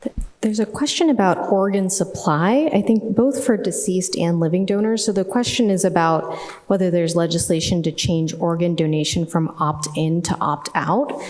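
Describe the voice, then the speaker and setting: A woman moderating a panel, briskly reading out an audience question. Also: fairly low pitch, a little mellow. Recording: reverberant conference hall.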